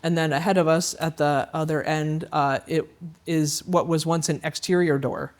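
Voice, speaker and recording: Man, tour guide, slightly high pitch, halting speech with fillers, indoors in an old house. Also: steady tone. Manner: halting, many fillers and restarts. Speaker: historic site guide. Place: indoors in a historic house, dining room.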